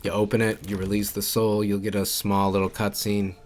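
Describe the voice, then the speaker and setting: Low-voiced man narrating a game walkthrough. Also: level tone, fairly quick, a little mellow. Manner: instructional, informal, repeats key points. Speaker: game guide creator and narrator. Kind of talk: casual walkthrough commentary.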